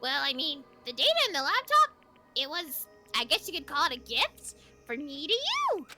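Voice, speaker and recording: A woman's voice: sits high, wildly swooping pitch, moderate pace. A woman voicing a gleefully cruel, mocking little robot bear villain for a fan dub of a game, with taunting, sing-song, theatrical delivery. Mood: mock innocence. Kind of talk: fan voice-over of a visual novel game. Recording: game music behind the voice.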